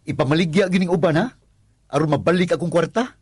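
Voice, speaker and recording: A man playing a flustered, money-worried husband in a Cebuano Filipino radio drama, husky and given to stammering exclamations. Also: fairly high pitch, fast talker, a little mellow, no room sound, clean studio sound. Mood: exasperated, worried. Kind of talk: Cebuano radio drama acting with narrated asides.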